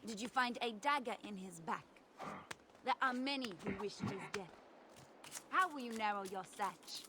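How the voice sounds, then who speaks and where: A woman's voice: medium pitch, moderate pace, close to an everyday voice. Echoing, composed priestess played by a woman. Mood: coolly defiant. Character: composed, defiant female ancient Egyptian priestess. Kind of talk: dramatic video game acting. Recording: echoey hall with background noise.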